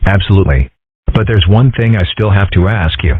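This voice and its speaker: A man voicing a dry, philosophical young cartoon fox boy in a deadpan way for a comic strip dub. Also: low voice, fairly quick, husky, no echo. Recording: muffled phone-like audio.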